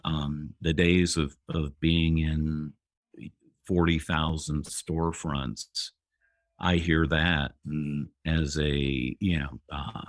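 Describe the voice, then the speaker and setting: A man in his fifties, a guest entrepreneur on an interview podcast, speaking in a measured way with pauses. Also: rumbling low, a bit bright, dry recording, clean studio sound. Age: 50s.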